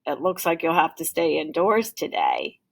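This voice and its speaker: Storybook father, done by a woman: caring, easygoing, gently cautioning, with animated pitch. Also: moderate pace, gravelly, full character voice. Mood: sympathetic. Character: caring, lenient father of a young boy.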